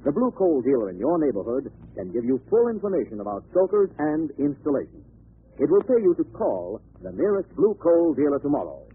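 A man reading a scripted 1940s radio commercial in a formal, measured announcer style. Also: on the high side, sing-song, breathy edge. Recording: tinny, scratchy old radio recording.

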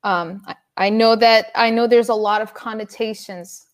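A woman from Dubai, a poet, talking in a casual interview in a relaxed, reflective way with frequent fillers. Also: fairly high pitch, moderate pace.

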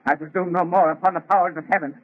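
A man's voice: sits high, steady tone, racing, lightly acted. A man playing a troubled, guilt-ridden scientist, speaking in an earnest, formal, melodramatic 1930s radio manner. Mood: horrified defiance. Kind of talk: 1930s radio horror acting. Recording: muffled, lo-fi vintage radio recording.

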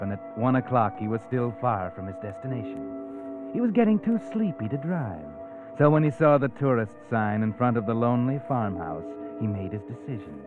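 A man's voice: medium pitch, natural voice. Vintage radio mystery host played by a man: sinister, insinuating storyteller, tinny old recording, music underneath. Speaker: narrator. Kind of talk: 1940s radio mystery narration.

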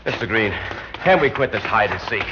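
An actor playing a weary, mild underling, plain-spoken and placating, in a classic radio drama. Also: male voice, on the low side, lively intonation, normal speed, lightly acted. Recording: dull, noisy old radio recording.

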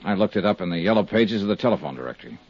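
A muffled, dry 1950s radio recording of a world-weary American investigator. His voice is low and husky, and he talks in clipped, candid, hard-boiled phrases. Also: expressive, very fast, dry recording. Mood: matter-of-fact. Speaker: lead actor and narrator of a detective serial. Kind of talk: 1950s radio detective drama acting.

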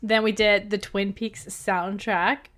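A woman co-hosts a podcast in a relaxed, conversational way. She chats through past episodes and laughs often between remarks. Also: mid-range voice, takes it slowly, crowd noise. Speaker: podcast co-host. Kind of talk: casual chat recapping episodes.

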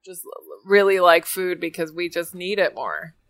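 Female podcast guest raised in Spain; animated, chatty storytelling. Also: fairly low pitch, moderate pace. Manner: animated storyteller, frequent fillers. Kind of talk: casual conversational interview.

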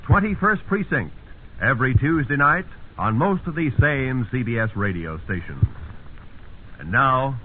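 A man announcing from a script in 1950s radio style, with an emphatic, punchy delivery. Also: medium pitch. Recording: narrow, hissy 1950s radio broadcast.

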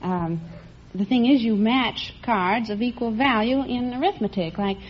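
A woman's voice: on the high side, measured pace, muffled recording. Young American woman on hissy old radio. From California, United States. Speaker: contestant, maths teacher. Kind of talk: polite radio interview answers.

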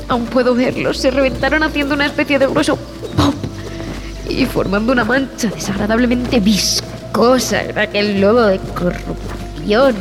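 A shaken woman scientist recounting horrors in an audio drama. Her delivery is deliberate and theatrical, with dramatic pauses and a fearful, repulsed temper. Long reverb surrounds the voice, and music plays behind it. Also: a little high. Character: frightened, repulsed female expedition zoologist recounting horrors. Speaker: narrator and lead actress.

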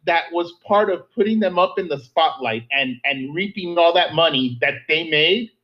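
A man in casual podcast chat, animated and joking as he talks with emphasis. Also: a little high, normal speed. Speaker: podcast co-host. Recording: dull lo-fi sound.

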